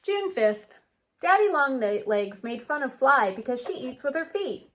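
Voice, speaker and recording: A woman reading a picture book aloud like a teacher, with animated, drawn-out delivery and chatty asides. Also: high-pitched. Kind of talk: expressive children's read-aloud with chatty asides.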